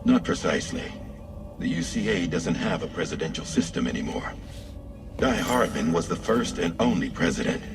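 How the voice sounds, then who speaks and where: Game role: a composed, knowing man explaining things formally, low-pitched, slightly off-mic over clear music. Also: full character voice. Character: suave, knowing male intermediary briefing a courier. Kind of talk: scripted game cutscene dialogue. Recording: distant, with music behind.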